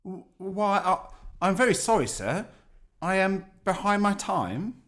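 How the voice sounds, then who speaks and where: A man's voice: high voice, big pitch swings, measured pace, a bit bright, heavily acted. A man playing a meek, apologetic Victorian clerk in a radio play, nervous and stammering. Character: timid Bob Cratchit, meek apologetic overworked male clerk.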